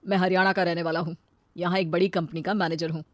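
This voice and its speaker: A woman's voice: lowish voice, level tone, fast talker, no room sound, voice pushed down, close to an everyday voice. A woman voicing a young male suitor and husband in a cartoon story, talking in an insistent manner. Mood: wooing.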